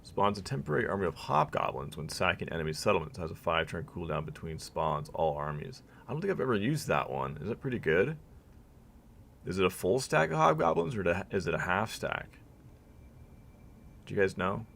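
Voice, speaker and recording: A man's voice: low-pitched, big pitch swings, fairly quick, gravelly, fairly bright tone, a little hiss or hum. A man streaming a strategy game live, thinking aloud and reading game text to his viewers, his voice weak and worn out. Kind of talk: live gameplay commentary.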